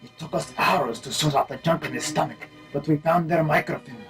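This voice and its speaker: A man's voice: on the high side, a little fast, a bit bright, close to an everyday voice. A man plays a businesslike, matter-of-fact doctor at a prison camp in a comic radio play. Music sits behind the voice.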